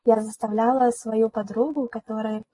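A woman's voice: fairly high pitch, fairly even intonation, normal speed, dark tone, a little hiss or hum. A young woman hosting a podcast, asking questions and then sharing her own experience. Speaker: podcast host. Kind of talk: casual chat.

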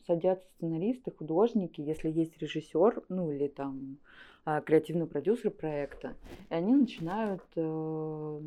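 A woman's voice: on the low side, warm tone. A woman speaking as a studio guest in an interview, explaining things conversationally with examples.

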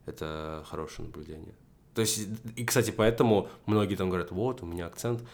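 A man's voice: low voice, brisk, vocal fry, darkish tone. A young man in a casual conversation, explaining his points in a structured way with frequent fillers. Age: young adult. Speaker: podcast guest.

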